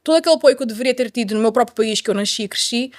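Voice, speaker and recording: A middle-aged woman with a Portuguese accent shares her personal story in a conversational way. Her voice sits fairly high and she talks very quickly. She repeats phrases for emphasis and drops in an English word here and there. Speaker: guest telling her life story.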